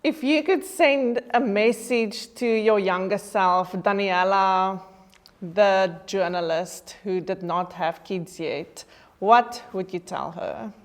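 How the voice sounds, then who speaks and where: A woman's voice: medium pitch, slow pace. A woman hosting a podcast, interviewing a guest in an encouraging way. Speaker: podcast host.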